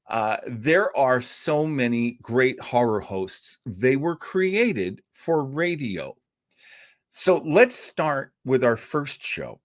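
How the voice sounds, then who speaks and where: An older man hosting an old-time radio podcast, chatty and enthusiastic with rambling asides. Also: mid-range voice. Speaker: podcast host. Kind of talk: casual chat.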